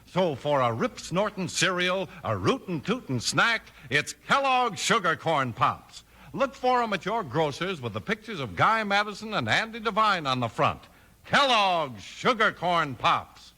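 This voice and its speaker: A man's voice: high voice, sing-song, unhurried, bright, forceful tone. A man announcing and narrating a 1950s radio show in an emphatic, dramatic scripted style. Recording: old 1950s radio broadcast with music. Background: music.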